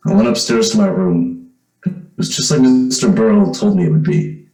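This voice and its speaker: A man playing an uneasy young man returned home in an old-time radio drama, speaking haltingly. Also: on the low side, mellow, faint music behind, close to an everyday voice. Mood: guarded narration. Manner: hesitant, halting. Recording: roomy, echoing recording.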